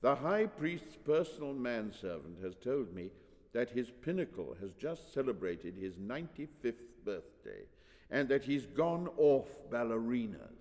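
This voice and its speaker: Storybook donkey driver, done by a man: wheedling, scheming father, ingratiating, animated intonation, long reverb. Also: mid-range voice, a little slow.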